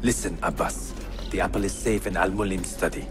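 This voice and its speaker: Man acting low-voiced, solemn assassin leader. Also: steady tone, over music, close to an everyday voice. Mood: calm, persuasive. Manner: solemn, formal, deliberate. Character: grave, composed young male medieval assassin leader. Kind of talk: video game cutscene acting.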